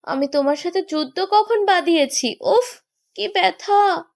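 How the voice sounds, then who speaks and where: A woman's voice: very high voice, a little slow, vocal fry, dry recording, clean studio sound, full character voice. A woman playing a pouty, teasing young woman in love who scolds and complains in a romantic audio story. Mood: whiny, sore. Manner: complaining, playfully indignant.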